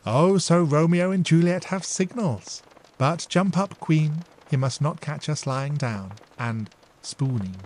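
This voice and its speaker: A man's voice: on the high side, slow, a little mellow, pitched above the performer's own voice. A man voicing an impetuous, playful younger sister who talks eagerly and coaxingly.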